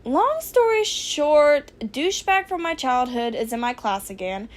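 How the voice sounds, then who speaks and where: A woman voicing a sarcastic, dramatic teenage boy hacker in a casual, deadpan way for a fan fiction reading. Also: high-pitched, unhurried. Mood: exasperated, sarcastic.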